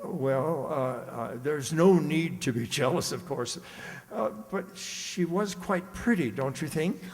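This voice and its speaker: A man's voice: a little high, expressive, slow pace. A man playing a vain, blustering middle-aged husband, pompous and exasperated, in a radio comedy. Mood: flustered, coy. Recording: echoey hall, long reverb.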